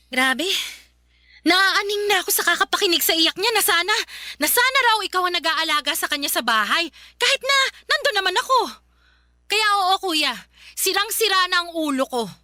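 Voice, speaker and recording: High, bright, aggrieved young-sounding daughter. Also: female voice, dry recording, clean studio sound, full character voice. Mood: bitter, hurt. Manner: emotional, pleading, emphatic repetition. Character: aggrieved, worn-out adult daughter, bitter and defiant. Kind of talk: Filipino radio drama acting.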